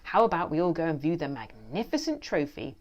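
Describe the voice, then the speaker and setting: A woman's voice: sits low, wildly swooping pitch, brisk. A haughty storybook wasp who runs a rival school, voiced by a woman. He is pompous and sneering, looking down on everyone he addresses. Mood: smug, weary.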